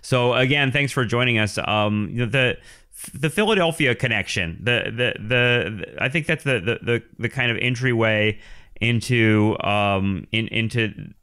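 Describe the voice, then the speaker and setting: A man hosting a podcast interview, talking in a halting way with many restarts and fillers. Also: mid-range voice, pushed and bright, clean tone. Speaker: podcast host.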